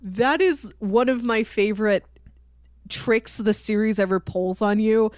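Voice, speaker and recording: A woman co-hosting a podcast in casual chat, telling stories in an animated way and acting out quoted dialogue. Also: medium pitch, measured pace, no echo, clean studio sound. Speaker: podcast co-host. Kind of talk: casual chat about a TV cartoon.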